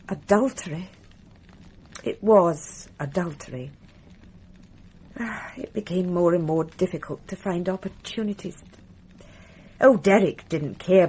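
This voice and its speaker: An actress playing a married woman in a radio drama who tells a policeman, softly and confidingly, about a past affair. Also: lowish voice, slightly rough. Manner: soft, confiding, wistful.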